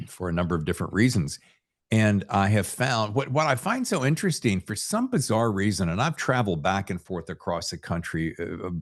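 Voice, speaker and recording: A man hosting a casual interview podcast, chatting and musing conversationally with his guest. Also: deep voice. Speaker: podcast host.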